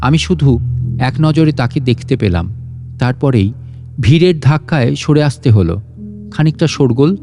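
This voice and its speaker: Bright-voiced man narrating a story. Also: mid-range voice. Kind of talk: scripted literary story narration. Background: guitar and instrumental music.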